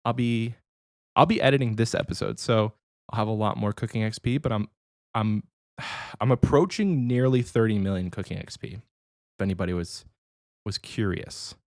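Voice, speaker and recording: A man's voice: lowish voice, normal speed, no echo, clean studio sound. A man in his thirties co-hosting a podcast, chatting casually and rambling a little, sounding slightly nasal from a cold. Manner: rambling, easygoing, many restarts. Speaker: podcast co-host.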